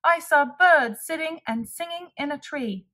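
A woman voicing a cheerful young male frog who tells a childhood story in an animated, emphatic way for small children. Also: high-pitched, unhurried, voice pushed up. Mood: bright storytelling.

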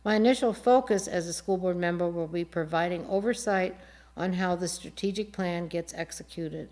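A woman moderating a formal forum in a measured, deliberate way, reading prepared words with small stumbles. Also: lowish voice, a little mellow. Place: TV studio.

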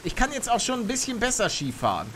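A young man streaming a game live, chatting with his viewers and reacting loudly, close to a studio mic at home. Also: very high-pitched, fast, a little hiss or hum. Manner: chatty, reads chat aloud, bursts into excited shouts. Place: home streaming room, indoors. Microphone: studio mic on arm near face.

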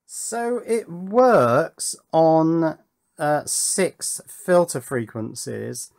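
A man's voice: fairly high pitch, expressive, drawn-out words, vocal fry, darkish tone, no room sound, clean studio sound. A British man presenting an electronics project in a casual, thinking-aloud way, demonstrating as he goes. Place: indoors at a workbench.

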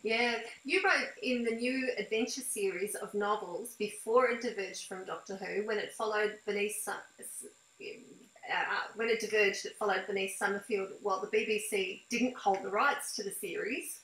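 Female interviewer, middle-aged. Crisp bright tone, slightly high, in an echoey room on a video call. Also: fairly even intonation, normal speed. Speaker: interviewer, host. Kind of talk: conversational interview with scripted introduction. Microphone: device mic on a video call.

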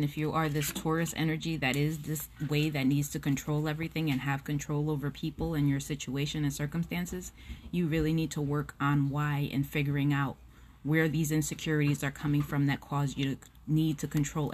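A woman's voice: low voice, little pitch movement, brisk, a little hiss or hum. A young woman giving a casual tarot reading straight to her phone camera at home, frank and blunt, swearing freely and filling gaps with "um" and "like". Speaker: tarot reader. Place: small indoor room at home. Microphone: phone camera at close range.

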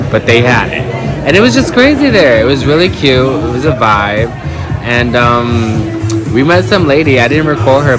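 A man in his thirties from New York narrating a casual vlog in a sassy, campy, emphatic way, repeating words for effect. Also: mid-range voice. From New York, United States. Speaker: vlogger. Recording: loud music under the voice. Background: loud music.

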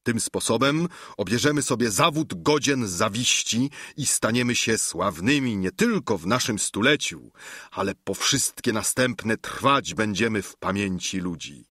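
A man's voice: on the low side, animated, measured pace, sharp tone, slightly rough, dry recording, studio quality, heavily acted. A man voicing a lofty, idealistic knight who speaks in grand, flowery phrases. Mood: dreamy. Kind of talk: audiobook character reading.

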